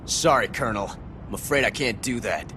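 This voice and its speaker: Cool military officer, noisy backdrop. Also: male voice, lowish voice, a little fast, sharp tone. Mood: cool defiance. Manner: cool, restrained, firm. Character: calm, defiant younger male military officer. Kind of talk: video game voice acting.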